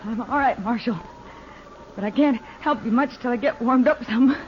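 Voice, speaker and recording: A woman's voice: on the high side, normal speed, slight background noise, natural voice. An actress playing a tough, self-reliant frontier ranch woman in a radio western, terse and plain-spoken. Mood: weary, kindly. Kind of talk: 1950s radio western acting. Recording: dull old radio recording.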